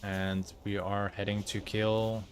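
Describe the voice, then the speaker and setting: Low-voiced Dutch man narrating gameplay. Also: flat delivery. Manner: halting, many fillers, searches for words. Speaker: streamer. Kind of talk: casual gameplay commentary.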